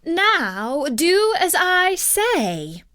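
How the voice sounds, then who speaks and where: A woman's voice: extremely high pitch, very animated, drawn-out words, pushed and bright, no room sound, pitched above the performer's own voice, exaggerated voice. A woman voicing a scornful, commanding beautiful witch in a storybook tale. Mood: imperious. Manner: commanding, disdainful. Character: imperious, scornful beautiful enchantress who bewitches men. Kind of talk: storybook character voice.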